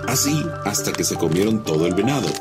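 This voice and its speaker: A man narrating a children's animated folk tale as a storyteller. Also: lowish voice, fast. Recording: music under the voice.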